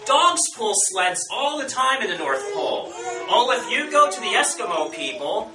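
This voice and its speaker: A man's voice: sits high, very animated, moderate pace, crowd noise, pitched above the performer's own voice. A man voicing a commanding Santa Claus in a storybook tale, folksy and emphatic. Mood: exasperated, decisive. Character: commanding Santa Claus, brisk boss addressing his team. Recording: roomy, far from mic, faint noise.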